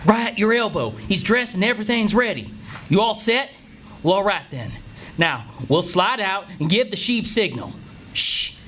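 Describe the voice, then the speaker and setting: A man's voice: high-pitched, animated, normal speed, a bit bright. An actor playing a cocky, adventure-hungry country boy who schemes eagerly in an old-time radio play. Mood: excited, scheming. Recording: live stage recording with faint noise.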